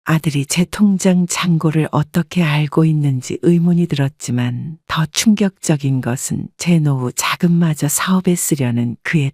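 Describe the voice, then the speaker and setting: A woman narrating a scripted first-person family story in a calm, reflective tone. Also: deep voice, dry recording, studio quality.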